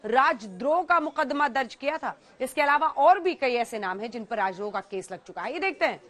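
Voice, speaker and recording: A woman's voice: high voice, lively intonation, brisk, fairly bright tone. A woman news anchor presenting a scripted special report.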